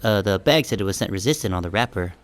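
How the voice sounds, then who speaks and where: A man playing a short, self-conscious sheep who narrates an audiobook in a wry, deadpan, casual first-person style. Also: on the low side, fast talker, natural voice. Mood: wry, musing.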